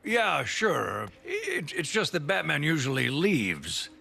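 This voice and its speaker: An actor playing an earnest, gruff middle-aged police commissioner with a gravelly voice in a video game. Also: male voice, fairly high pitch, wildly swooping pitch, takes it slowly, pushed and bright, full character voice. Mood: earnest, puzzled.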